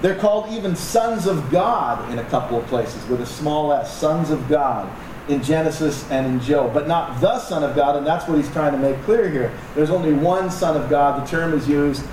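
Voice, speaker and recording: A man's voice: fairly high pitch, moderate pace. A man preaching a sermon to a congregation in a conversational, joking way with asides to the audience. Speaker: pastor. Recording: echoey room, distant mic, noisy.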